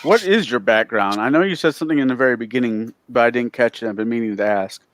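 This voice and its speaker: Loud gregarious male player, average pitch, dry sound. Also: fast, no room sound. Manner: loud, boisterous talker. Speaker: tabletop game player. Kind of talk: casual chat on a livestream.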